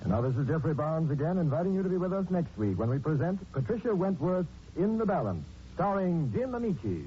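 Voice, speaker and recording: A man's voice: a little high, big pitch swings, brisk, background noise. A man hosting a 1940s radio mystery, narrating in a dramatic, sardonic, theatrical manner. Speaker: radio mystery host and announcer. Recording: dull 1940s radio recording with music. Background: music.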